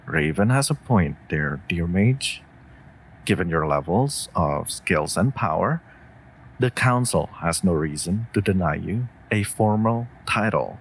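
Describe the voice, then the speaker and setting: A man voicing a calm, loyal wolf familiar with dry humour in a fantasy audio drama, talking in a measured, wry, reassuring way. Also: low voice, a little hiss or hum, low-quality recording. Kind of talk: scripted roleplay audio drama addressed to listener.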